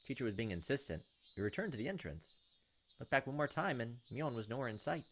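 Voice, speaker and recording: A man reading a visual novel aloud as a gaming host, expressive, with casual asides. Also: mid-range voice, fairly quick, noisy background.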